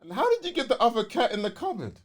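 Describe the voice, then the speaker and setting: Animated London man with slangy delivery. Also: very high-pitched, quick pace. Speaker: podcast co-host. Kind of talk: casual gaming podcast chat.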